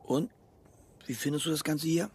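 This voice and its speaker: An actor playing a young novice monk in a radio play, a childlike, cheeky buddy from Hamburg. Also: male voice, fairly high pitch, moderate pace, a little mellow, breathy edge. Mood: curious. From Hamburg, Germany.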